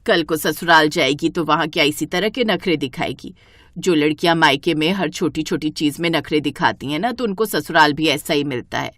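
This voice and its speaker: Casting: a woman playing an older, breezy matriarch, low in pitch, bossy and admonishing, for a cartoon family tale. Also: moderate pace, fairly bright tone, no echo, studio quality. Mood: scolding. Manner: matronly, admonishing. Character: older village mother and mother-in-law, breezy, bossy. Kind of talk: animated Hindi storybook dialogue.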